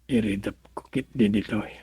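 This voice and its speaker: A man playing a weary, moaning grumbler with a husky, creaky voice in an Ilocano comedy radio drama. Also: fairly low pitch, fairly even intonation, a little fast, dark tone, dry recording. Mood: groaning.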